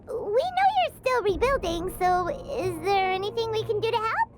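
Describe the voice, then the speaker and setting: A woman voicing a small, childlike fairy companion in a video game, excitable, earnest and loyal, with a squeaky voice. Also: normal speed, very noisy. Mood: wondering. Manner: speaks of herself in the third person, exclamatory. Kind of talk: video game character acting. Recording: game music behind the voice.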